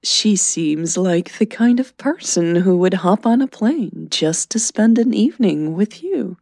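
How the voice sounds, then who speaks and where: A woman's voice: medium pitch, lively intonation, unhurried, vocal fry, dark tone, no echo, studio quality. A woman voicing an enthusiastic, affectionate middle-aged mother who encourages and teases, in an audio drama. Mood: curious, teasing. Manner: eager, affectionate.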